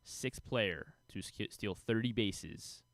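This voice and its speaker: A man with an American accent chatting casually about sports as a radio co-host, with a somewhat halting, hesitant delivery on a close studio microphone. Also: fairly low pitch, vocal fry, dry recording.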